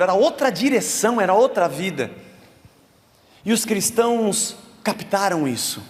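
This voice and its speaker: A Brazilian man, a pastor, delivering a conversational sermon in a church, mixing Scripture with casual slang. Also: high-pitched. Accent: Brazilian Portuguese. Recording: long church reverb.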